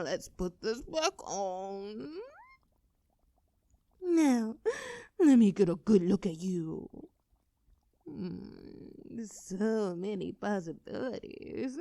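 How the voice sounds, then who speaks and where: A woman's voice: medium pitch, very animated, very slow, pauses often, dark tone, no echo, exaggerated voice. A woman playing a gleefully cruel female mad scientist in a horror audio roleplay, speaking theatrically in precise, formal phrases. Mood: coldly menacing.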